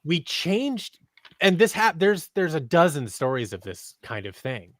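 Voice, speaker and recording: A man in his thirties giving conversational interview answers as a podcast guest, talking in a halting, rambling way that often interrupts itself. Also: fairly high pitch, big pitch swings, a bit bright, no echo, clean studio sound. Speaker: podcast guest, audio drama creator. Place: small home office, indoors. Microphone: close boom-arm microphone on a video call.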